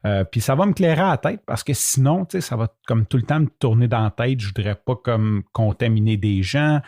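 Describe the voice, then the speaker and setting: A man's voice: mid-range voice, expressive, fairly quick, darkish tone, no echo, clean studio sound. A man with a Québécois French accent, hosting a podcast and telling a personal story in a chatty, self-mocking way, often laughing as he talks. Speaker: podcast host. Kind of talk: casual solo storytelling.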